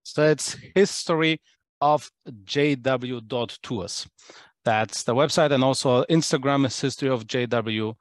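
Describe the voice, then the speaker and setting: A man's voice: mid-range voice, unhurried, no echo. A man from Poland, talking casually as a podcast guest, hesitant with many fillers and restarts. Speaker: podcast guest, tour guide.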